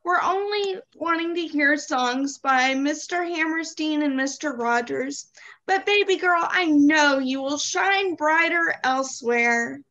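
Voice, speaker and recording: A woman's voice: high voice, measured pace, pushed and bright. A woman playing a late, busy assistant director who talks in a syrupy, condescending Southern style. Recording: dull lo-fi sound.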